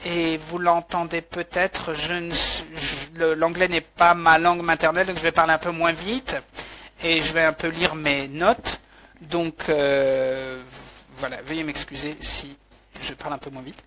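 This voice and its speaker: Guy interpreting a webinar live, slow and halting, bright voice, lots of restarts. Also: a little high, flat delivery, a little hiss or hum. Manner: halting, frequent restarts and fillers.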